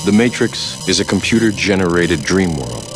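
An actor playing a grave man revealing a hidden truth, speaking in a solemn, measured way, in a film clip. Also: deep voice, animated. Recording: film clip over loud music.

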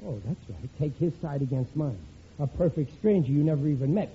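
An actor playing a gruff, self-pitying working-class husband in a 1940s radio comedy. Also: male voice, a little high, fairly quick. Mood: grumbling. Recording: tinny old radio broadcast with hiss.

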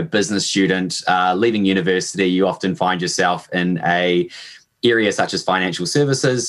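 Young New Zealand man, deep low voice, easygoing interview guest, loose and filler-heavy. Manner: relaxed, hedging with fillers. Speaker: podcast guest, e-commerce manager. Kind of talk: casual interview answers.

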